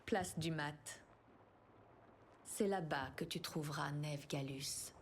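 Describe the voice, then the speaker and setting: An actress playing a cool, mocking underworld informant in a video game. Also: female voice, low-pitched, takes it slowly, slight background noise.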